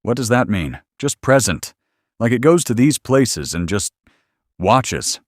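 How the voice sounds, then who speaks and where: A man playing a skeptical, sarcastic podcast listener growing unnerved by a horror tale, reacting with deadpan disbelief. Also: mid-range voice, unhurried, no room sound, clean studio sound. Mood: incredulous. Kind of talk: scripted horror podcast banter.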